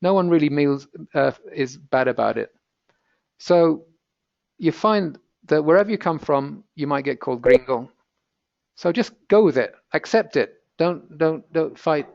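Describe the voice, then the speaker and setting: British man, British accent, gravelly voice, patient encouraging teacher, dull lo-fi webinar sound. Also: a little high, expressive, unhurried, a little mellow, dry recording. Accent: British English. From Britain. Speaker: language teacher presenting a webinar. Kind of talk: webinar language lesson.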